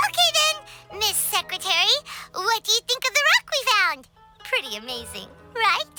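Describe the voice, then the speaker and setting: Tiny excitable game sidekick, super high squeaky kid voice, slow-ish n bouncy, music behind. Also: female voice, full character voice. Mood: cheerful, curious. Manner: squeaky, expressive, speaks of herself in third person. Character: small childlike chatty excitable companion creature, female. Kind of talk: video game voice acting.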